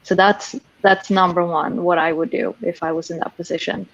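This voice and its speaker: A woman's voice: lowish voice, steady tone, moderate pace, dry recording, lo-fi sound. A woman from Sweden, a sales professional speaking frankly on a panel, often restarting her sentences. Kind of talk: casual panel discussion.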